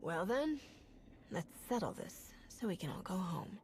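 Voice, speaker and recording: An actress playing a resolute female soldier hero in a video game, speaking firmly and calmly. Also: on the low side, unhurried. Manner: firm and calm.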